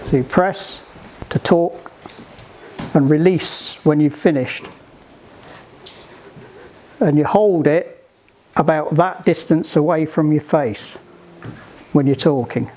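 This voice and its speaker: A man giving hands-on instruction to a group in a halting, deliberate, emphatic way, on an amateur recording. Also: a little high, darkish tone. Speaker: sailing club instructor giving radio training.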